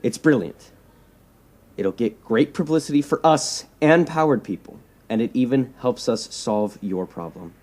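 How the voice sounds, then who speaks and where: Man playing a mellow, persuasive self-righteous hero leader. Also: medium pitch, measured pace, close to an everyday voice. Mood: eager, scheming. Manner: earnest, insistent, cajoling, repeats words for emphasis. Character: persuasive, self-righteous young man leading a superhero group. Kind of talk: audio drama acting.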